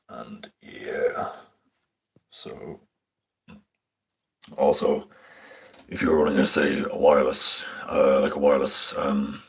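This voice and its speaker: A young man with a very deep voice, casually walking through a stage plot on screen, rambling with fillers and casual swearing. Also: creaky, husky. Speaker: stage tech student. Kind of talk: casual live screen walkthrough. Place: indoors at a screen. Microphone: phone filming a screen.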